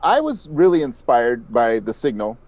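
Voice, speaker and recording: A man's voice: mid-range voice, very animated, normal speed, a little mellow. A man speaking as an interview guest, explaining things modestly in a conversational way. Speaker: interview guest, audio drama podcast creator.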